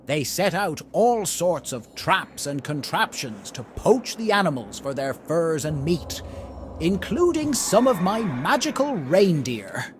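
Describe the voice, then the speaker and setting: A man with a fairly high voice narrates a festive tale in character. His pitch swings widely as he speaks in a deliberate, theatrical way. There is faint noise behind him. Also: sing-song. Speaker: storyteller in a roleplay story event. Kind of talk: in-character holiday storytelling.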